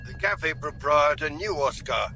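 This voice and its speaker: A steady police inspector reporting from a stakeout. He speaks slightly high and matter-of-fact, with loud street noise behind him. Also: measured pace. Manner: flat, factual reporting.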